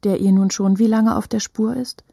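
An actress playing an intense narrator in a literary radio drama, deliberate and suspenseful, with breathy delivery. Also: female voice, medium pitch, steady tone, dark tone, slight background noise, natural voice. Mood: watchful. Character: intense female narrator shadowing a hunted woman's every step.

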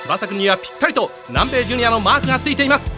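High-voiced, excitable soccer match announcer. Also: male voice, very fast, over music. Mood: eager, building. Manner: dramatic, breathless build-up of each play. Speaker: sports commentator. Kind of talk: anime sports commentary.

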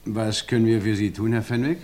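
A man acting out an unhurried police inspector from a 1950s radio crime play, with a somewhat low voice and a dry, lecturing manner. He talks in measured, patient sentences, and the sound has the feel of an old mono radio recording. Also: steady tone, darkish tone, natural voice. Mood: calm. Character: calm pipe-smoking male police inspector.

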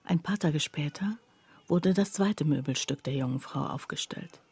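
A woman narrating a literary radio play in the first person, in a measured, hushed, reflective way. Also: sits low, husky, dry recording, full character voice.

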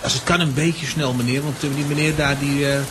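A man's voice: fairly high pitch, fairly even intonation, brisk, background noise, natural voice. A man playing an officious, insistent, petty train conductor in a radio play. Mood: impatient.

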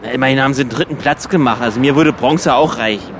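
A man's voice: mid-range voice, fast, background noise. A man in casual conversation who tends to explain things in full, wordy sentences. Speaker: radio show participant.

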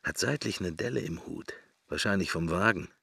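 Gravelly, low musing man. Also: moderate pace, bright, forceful tone, no room sound, studio quality, soft background music, exaggerated voice. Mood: reminiscing. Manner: musing in short, broken fragments. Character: pensive middle-aged man musing inwardly, wry and morbid. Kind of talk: German radio drama, interior monologue.

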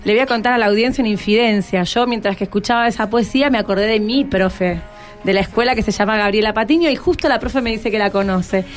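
A woman's voice: medium pitch, fast talker, soft background music. A woman with an Argentine accent hosting a casual radio interview, prompting with short informal questions. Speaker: radio host interviewing students.

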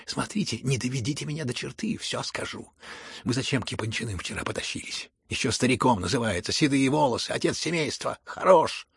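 A man's voice: medium pitch, fairly quick, vocal fry, fairly bright tone, slightly rough, no echo, studio quality, heavily acted. A man voicing a spiteful, exasperated grown son who angrily scolds his father in an audiobook, with biting delivery. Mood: mocking rage. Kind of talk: dramatized audiobook reading.

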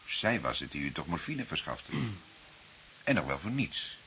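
An actor playing a stern, formal police detective with a sardonic, probing way of talking in a radio play. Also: male voice, a bass voice, very animated, normal speed, bright, forceful tone, a little hiss or hum, full character voice. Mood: calmer, wry. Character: stern male police detective, patient but explosive interrogator. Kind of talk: Dutch radio play dialogue.

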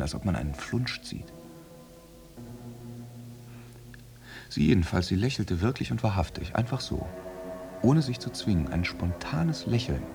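An actor playing a jealous, tormented soldier in a radio play monologue, theatrical and shifting between murmurs and outbursts. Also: male voice, on the low side, gravelly. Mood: obsessive, brooding. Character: jealous, tormented soldier brooding over his unfaithful lover.

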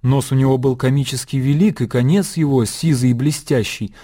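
A man narrating a literary radio drama in a measured storytelling manner. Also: mid-range voice, mellow.

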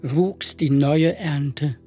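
A woman's voice: low-pitched, drawn-out words, dark tone. A woman reciting rhymed poetry in the style of a literary reading. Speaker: poetry reader. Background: prominent piano music.

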